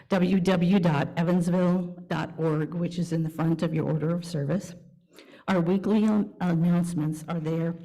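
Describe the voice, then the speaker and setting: A woman leading a church service, reading a welcome and announcements to the congregation in measured, deliberate phrases with pauses. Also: fairly low pitch, mellow, poor audio. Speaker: worship leader at a church service.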